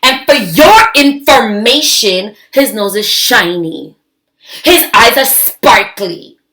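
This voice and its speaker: A woman's voice: on the high side, lively intonation, slow pace, pushed and bright, heavily acted. A woman voicing a bossy, jealous little girl mouse in a storybook, dramatic, scornful and emphatic. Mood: indignant. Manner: emphatic, declaring.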